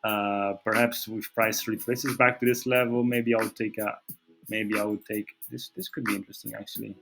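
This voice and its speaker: A man's voice: fairly low pitch, level tone, moderate pace, creaky, over music. A man with a Portuguese accent, a native Portuguese speaker, hosting a trading analysis and talking through charts with many fillers and restarts. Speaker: trading channel host. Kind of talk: screen-share market analysis.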